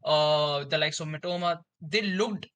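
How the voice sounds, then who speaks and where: A man's voice: a little high, a little slow, a bit bright. A man giving his view as a football podcast panelist, hesitant and full of fillers. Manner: hesitant, many fillers like "you know" and "actually". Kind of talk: casual football chat.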